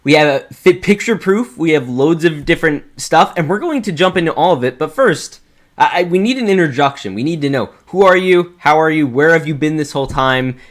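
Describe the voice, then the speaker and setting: A man hosting a fan interview show, speaking with excitable enthusiasm and often stammering over his word starts. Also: a little high, brisk. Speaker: host of a toy fan video show. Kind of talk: enthusiastic interview and scripted introduction.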